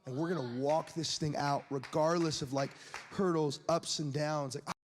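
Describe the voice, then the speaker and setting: A man's voice: on the high side, fairly even intonation, moderate pace, big-room sound, slight background noise. A man in his late twenties preaching a sermon, speaking candidly and conversationally, with laughs and asides to the crowd. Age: 20s.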